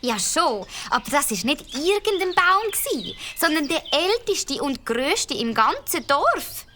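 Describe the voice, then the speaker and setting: A chatty young girl with a Swiss German accent. Her voice is high, bright and bouncy. A faint hiss runs under it in this children's radio play. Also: big pitch swings, slow pace, heavily acted. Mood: eager.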